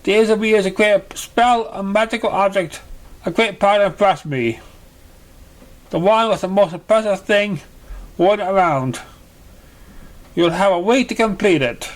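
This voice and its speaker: A grandly theatrical male master of a magical contest, acted out by a man reading a story, pitched high. He proclaims his praise in an effusive, ceremonial manner and takes his time over the words. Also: unhurried, pauses often. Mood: grand, congratulatory. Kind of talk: storybook character voice in fan fiction reading.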